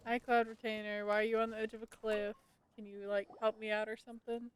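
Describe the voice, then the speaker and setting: A woman's voice: fairly high pitch, flat delivery, a little fast, slight background noise, rough recording. A woman streaming a game live, chatting casually and playfully reacting aloud.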